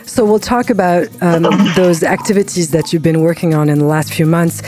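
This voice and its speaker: Woman, radio host, mellow tone, lowish pitch, measured scripted questions. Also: steady tone, faint music behind. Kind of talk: radio interview.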